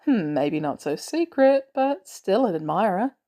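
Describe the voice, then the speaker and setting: A woman reads the part of a confident adult man in an audiobook story. The tone is mellow and casual. He speaks in clipped, curious lines with gruff fondness. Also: mid-range voice, big pitch swings, a little slow. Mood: flirtatious.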